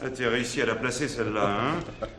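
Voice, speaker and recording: An actor playing a gruff, bossy police detective with a dry, familiar, teasing manner in a stage comedy. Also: male voice, medium pitch, quick pace, lightly acted. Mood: wry, teasing. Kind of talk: 1970s French stage comedy acting. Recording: noisy theatre stage recording.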